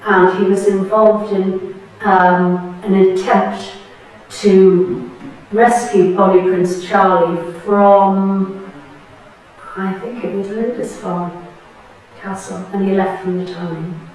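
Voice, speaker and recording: A woman of about sixty from Tyneside, thoughtfully recalling her memories in an oral history interview, pausing and restarting as she goes. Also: medium pitch, fairly even intonation, a little mellow, slight background noise. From Tyneside, England. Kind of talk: reflective personal reminiscence. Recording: very echoey room, distant mic.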